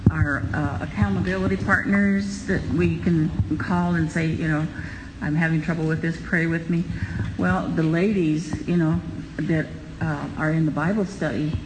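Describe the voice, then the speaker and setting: A Bible study member making a halting comment full of fillers. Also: medium pitch. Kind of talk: group discussion comment. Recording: distant from mic in echoey room with noise.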